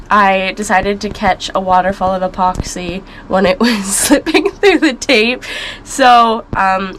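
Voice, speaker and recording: Casting note: easygoing woman, mid pitch, chatty and laughing, faint noise behind. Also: fairly bright tone, low-quality recording. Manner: chatty, laughs easily, self-mocking. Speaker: vlog co-host. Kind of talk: casual chat. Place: on a sailboat.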